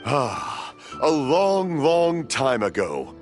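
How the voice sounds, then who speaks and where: A man's voice: mid-range voice, sing-song, drawn-out words, over music. A man playing a jovial old father in a video game, a hearty, long-winded storyteller. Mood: rambling, nostalgic. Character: jovial, rambling old father, a fireworks maker. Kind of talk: animated video game dialogue acting.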